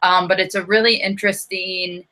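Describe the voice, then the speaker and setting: A woman's voice: mid-range voice, little pitch movement, moderate pace, sharp tone. An American woman from the United States, chatty and self-deprecating, saying "like" often. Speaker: co-host. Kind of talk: casual chat.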